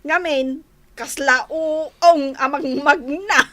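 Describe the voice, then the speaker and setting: A high, bright, mocking young wife. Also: female voice, slow, heavily acted. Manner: exaggerated, drawling, giggly. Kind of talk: comedic radio drama acting.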